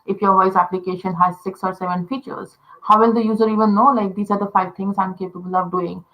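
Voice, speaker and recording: A woman's voice: mid-range voice, steady tone, fast, muffled recording. A woman from India with an Indian accent, a native Telugu speaker, explaining conversation design in casual talk full of fillers like "uh" and "you know".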